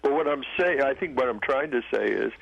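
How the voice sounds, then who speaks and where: A man's voice: medium pitch, fairly quick, vocal fry, husky, lo-fi sound. A man calling in to a radio show, sharing earnest, firm opinions. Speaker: caller. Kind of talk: call-in radio chat.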